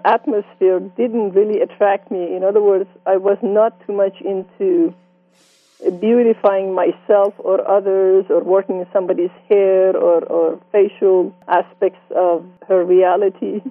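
A woman in her sixties from Iran with a Persian accent, telling her life story in an interview with reflective, gently amused warmth. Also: mid-range voice, no room sound. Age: 60s. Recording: dry tinny phone-like sound.

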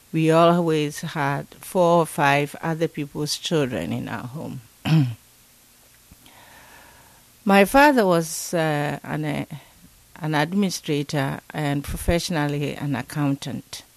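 An older woman from Ghana speaks slowly and thoughtfully, often pausing. Her voice has a gravelly edge. The sound is dry and close. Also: low voice, no echo. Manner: slow, thoughtful, many pauses and fillers. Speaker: interview guest. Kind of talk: interview, recalling childhood.

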